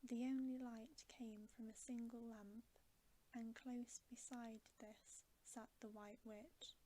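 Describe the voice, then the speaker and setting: Slow, whispering woman reading a bedtime story. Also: on the high side, level tone, dark tone, slight background noise, low-quality recording. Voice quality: breathy whisper. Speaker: ASMR storyteller.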